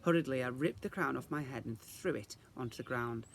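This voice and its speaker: A man reading a children's story aloud as a teacher would, in an expressive narrating style. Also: mid-range voice, a little fast, creaky, slight background noise.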